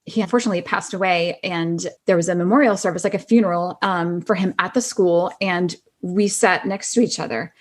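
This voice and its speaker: A woman's voice: on the low side, fairly even intonation, a little fast, few pauses. A middle-aged woman chatting casually as a podcast guest, telling personal stories with plenty of "like" and "you know". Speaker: podcast guest, actress and singer-songwriter.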